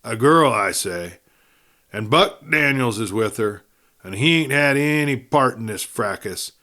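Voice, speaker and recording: A man's voice: lively intonation, a little slow, sharp tone. A man voicing a dying Western outlaw in an audiobook, desperate and sneering, speaking urgently in a rustic cowboy drawl as he gasps out a confession. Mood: earnest confession. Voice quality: low, breathy. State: gasping, near death. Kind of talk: audiobook character voice.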